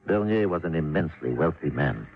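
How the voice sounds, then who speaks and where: French police inspector, very proper and exact, old radio drama, muffled recording. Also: male voice, rumbling low, expressive, a little fast. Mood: formal, measured. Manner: formal, precise, polite.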